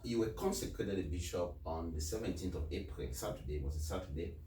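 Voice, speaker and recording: A man's voice: deep voice, lively intonation, fast talker, warm tone. A man conducting a conversational interview as the interviewer. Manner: echoes and completes the guest's phrases. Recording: echoey room, distant mic, faint noise.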